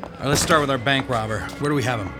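An actor playing a dry, commanding police detective in a radio drama. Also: male voice, medium pitch, quick pace, music in the background, close to an everyday voice. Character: tough male police detective.

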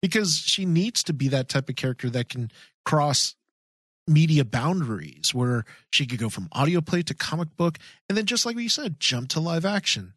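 Higher-voiced man, emphatic fan podcaster. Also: very animated, normal speed, a bit bright, no room sound. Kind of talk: casual enthusiastic fan podcast chat.

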